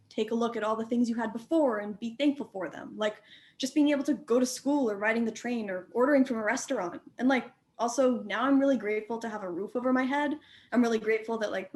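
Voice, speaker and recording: A woman's voice: on the high side, natural voice. A woman playing an optimistic, grateful young student in documentary theater, with casual youthful phrasing.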